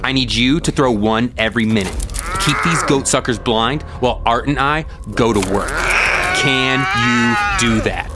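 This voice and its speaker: A man's voice: medium pitch, moderate pace, over loud music, lightly acted. A man playing a brash, foul-mouthed young cryptid hunter with slangy swagger in an audio drama. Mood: commanding.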